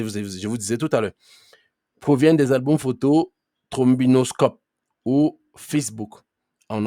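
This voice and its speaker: A man leads a live online class through a computer mic on a video call, with a dry sound and no room echo. His pitch sits in the middle range. He talks conversationally, keeps checking in with his students, and firmly tells latecomers to mute their mics. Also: dark tone. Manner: firm with late students about muting. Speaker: trainer.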